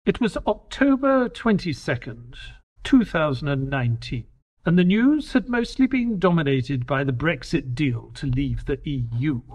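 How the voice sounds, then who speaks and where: A man's voice: a little high, big pitch swings, unhurried, a little mellow. A man playing a wry, proper and exasperated detective's companion, acting and narrating in an audio drama. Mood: measured, wry narrating. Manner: polite, wry, precise.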